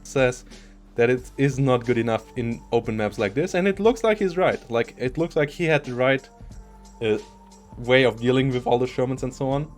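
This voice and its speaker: A man's voice: medium pitch, normal speed, soft background music. A man casting a live strategy game match, analytical and chatty, laughing often. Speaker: esports caster.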